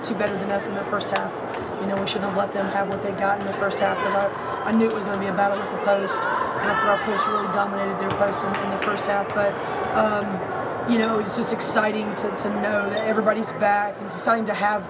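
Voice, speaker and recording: A middle-aged American woman, a basketball coach, answering postgame questions off the cuff in a candid, halting way. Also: mid-range voice, completely flat delivery. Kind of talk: postgame press interview, off the cuff. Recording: noisy crowd and traffic-like ambient din.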